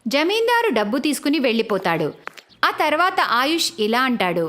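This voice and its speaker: A woman's voice: sits high, big pitch swings, moderate pace, a bit bright. A woman reading scripted narration for a moral story as its narrator.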